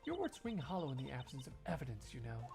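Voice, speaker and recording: A man playing a cool, cerebral investigator with a measured, formal, confident delivery in a game fandub. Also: medium pitch, wildly swooping pitch, vocal fry. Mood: composed, pointed. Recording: music behind the voice.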